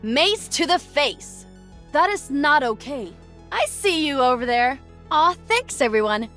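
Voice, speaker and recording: A woman's voice: high-pitched, sing-song, measured pace, fairly bright tone, exaggerated voice. A woman playing an enthusiastic, humble, slightly sassy young squire-engineer in a video game, with a Swedish accent. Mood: grateful. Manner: spirited, cheeky. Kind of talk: video game hero voice lines. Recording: game voice clips over faint music.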